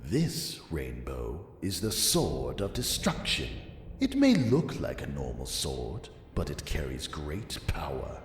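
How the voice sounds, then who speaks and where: Male villain warlord for fantasy audio drama: taunting, drawn-out, huge swings of pitch, reverberant with music behind. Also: wildly swooping pitch, exaggerated voice. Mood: menacing, triumphant. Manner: taunting, theatrical, drawn-out delivery. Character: gloating male villain warlord with clawed hands. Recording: echoey long reverb, music underneath.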